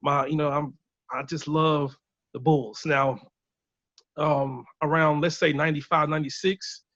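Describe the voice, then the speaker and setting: A man's voice: on the high side, unhurried, dry recording. Thirties man from Illinois, easygoing storyteller on a muffled call line. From Illinois, USA. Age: 30s. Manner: relaxed, chatty storytelling with laughs. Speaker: band member on a video chat. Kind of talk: casual chat. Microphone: video call. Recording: dull lo-fi video call audio.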